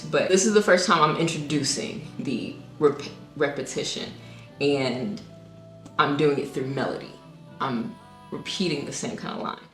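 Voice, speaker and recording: A young woman, a singer-songwriter, casually explains her own song with many ums and restarts and now and then sings a note; her voice is low. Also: reverberant. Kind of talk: casual music breakdown.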